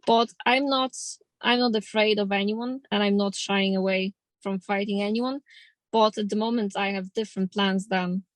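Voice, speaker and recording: A woman's voice: mid-range voice, fairly even intonation, moderate pace, no room sound. A woman in her thirties from Poland with a Polish accent, a boxer speaking in a casual interview in plain, determined, slightly non-native English. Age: 30s. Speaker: professional boxer.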